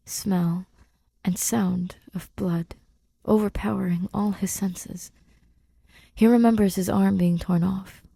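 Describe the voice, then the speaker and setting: A woman reading fiction as an audiobook narrator, in a hushed, deliberate and dramatic manner. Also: on the low side, creaky, slightly rough, no echo. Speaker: fan fiction podfic reader.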